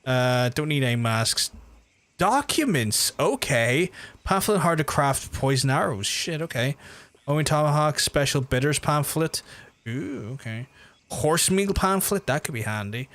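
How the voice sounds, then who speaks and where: A man with a softened Belfast accent, chatting casually and rambling as he streams a game, swearing freely. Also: on the high side, animated, a little slow. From Belfast, Northern Ireland. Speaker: live streamer. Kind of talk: casual gameplay commentary and chat with viewers.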